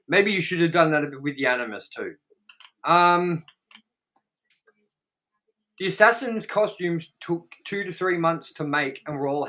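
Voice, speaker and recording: Guy with a slightly high voice reading trivia for a review show, bit halting, throws in offhand comments. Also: bright, forceful tone. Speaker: co-host of a movie review show. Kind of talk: reading out trivia with casual asides.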